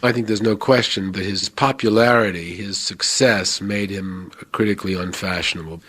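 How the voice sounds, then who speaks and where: A man's voice: lowish voice, steady tone, moderate pace, background noise. A man reflecting as a film commentator in a documentary interview.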